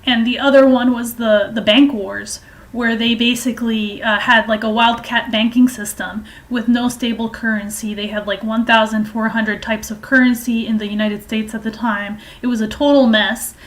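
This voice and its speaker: A woman giving informal political and historical commentary in a halting, hesitant way, explaining at length. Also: on the high side, steady tone.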